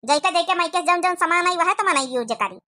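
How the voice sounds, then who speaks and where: Woman playing older village mother-in-law, Awadhi accent, brisk and commanding, cartoon comedy, high, sharp voice. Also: lively intonation, full character voice. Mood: bossy. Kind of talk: rural cartoon comedy dialogue.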